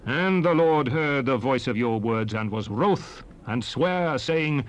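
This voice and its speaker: A man's voice: medium pitch, lively intonation, takes it slowly. An actor playing a solemn prophet leader who recounts a journey to his people in emphatic, declamatory scripture style. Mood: solemn, stern. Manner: solemn, emphatic oratory with archaic phrasing. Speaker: actor in dramatized Bible recording. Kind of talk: dramatized King James scripture reading, declamatory.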